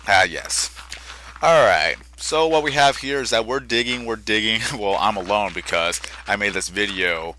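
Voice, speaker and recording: A tired young man rambling through casual gameplay commentary, often restarting his sentences and correcting himself, with a soft, quiet voice. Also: on the low side, normal speed, a little hiss or hum, poor audio. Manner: rambling, self-correcting chatter. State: tired from little sleep. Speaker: gaming channel host. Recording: game music faintly underneath. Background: faint game music.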